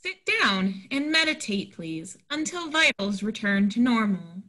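A woman in a play reading, playing a firm, controlling smart-home door with a measured, commanding manner. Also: mid-range voice, sing-song, sharp tone, exaggerated voice. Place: indoors at home, on a video call.

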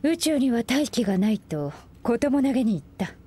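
An actress playing a stern, dignified woman scholar in a game, with archaic, stately speech and a husky voice. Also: fairly high pitch, very animated, fairly quick, warm tone. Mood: grave. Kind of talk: Japanese game dub acting. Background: game music.

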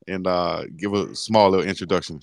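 A man with a low, smooth voice hosts a relaxed sports talk interview. He speaks through a headset on a video call, chatty and easygoing, with plenty of fillers. The sound is close and dry. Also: brisk, dry recording. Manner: laid-back, chatty, frequent "uh" fillers. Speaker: sports talk show host. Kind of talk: casual interview. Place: indoor room, video call.

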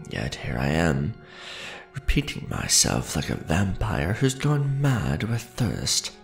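Ancient vampire lord, played by a man for ASMR roleplay: imperious, gravelly and low, with sweeping, dramatic pitch swings. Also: big pitch swings, faint music behind, exaggerated voice. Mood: weary, affectionate. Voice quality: gravelly, creaky. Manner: formal, grandiose, measured, dramatic. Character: centuries-old male vampire lord, imperious, aristocratic, tender to his beloved.

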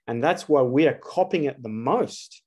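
A man in his forties talks straight into a close camera from a dark room. He is earnest and emphatic, pressing his points with rhetorical questions in a mid-pitched voice. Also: animated, moderate pace, slightly rough. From Australia. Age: 40s. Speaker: astrologer. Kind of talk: solo spiritual talk to camera.

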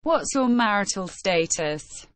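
A woman reading short English phrases for learners, slow and careful, evenly spaced. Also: medium pitch, lively intonation, no echo.

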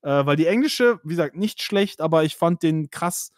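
A man's voice: fairly high pitch, lively intonation, normal speed, no room sound, studio quality. A man hosting a casual podcast about games, chatty and full of fillers, laughing now and then. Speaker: podcast host. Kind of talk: casual gaming podcast chat.

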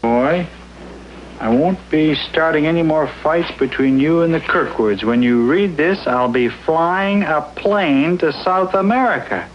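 A man speaking sitcom dialogue in a slow, grumbling way. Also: on the high side. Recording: dull lo-fi TV audio over music.

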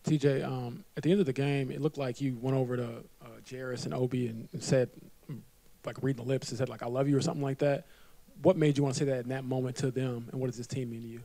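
Male reporter, mellow, flat, meandering press question. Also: mid-range voice, fast talker, no echo, low-quality recording.

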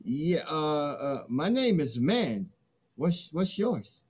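A man's voice: fairly high pitch, sing-song, takes it slowly, husky, heavily acted. A middle-aged man playing a boastful, stubborn early human in a dramatic play reading. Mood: awkward, eager. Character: first Man, boastful, greedy, stubborn, awkward.

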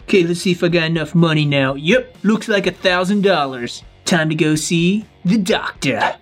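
A man's voice: fairly high pitch, animated, moderate pace, faint music behind. A man acting a scheming but very polite, apologetic male robber in a game roleplay. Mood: eager.

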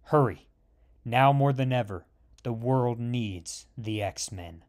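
A man voicing an old male telepathic mentor, speaking low and hushed. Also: slow, lightly acted. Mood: grave, urgent. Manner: low hushed urgent murmur.

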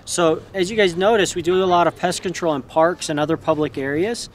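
A man's voice: sits high, steady tone, brisk. A man in his thirties talking casually and with animation as a dog trainer hosting an outdoor vlog, affectionate with animals. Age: 30s. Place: outdoors in a snowy park. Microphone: camera a few feet away. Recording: noisy outdoor camera audio.

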